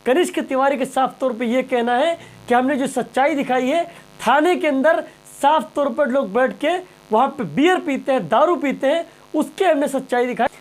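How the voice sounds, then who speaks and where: A man's voice: very high-pitched, moderate pace, few pauses. A man presenting impassioned news commentary straight to viewers, emphatic and rhetorical, repeating phrases and questions.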